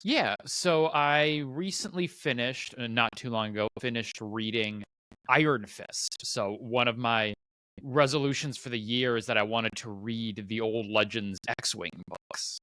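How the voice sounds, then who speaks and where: Male co-host, mid-pitched and bright, dry recording, loose rambling delivery that works thoughts out aloud. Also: unhurried, no room sound, poor audio. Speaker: podcast co-host. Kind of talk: casual podcast chat.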